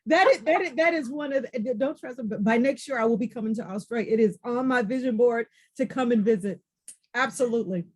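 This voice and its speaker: A woman hosting a podcast in an excited, effusive way, often repeating phrases for emphasis. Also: on the high side, brisk. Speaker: podcast host.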